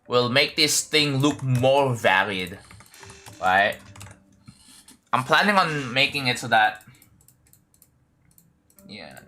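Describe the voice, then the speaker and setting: Bright-voiced Indonesian-accented man. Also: mid-range voice. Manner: thinks aloud, talks to chat, many fillers and restarts. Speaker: streamer building a game level. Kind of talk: casual livestream chat while working.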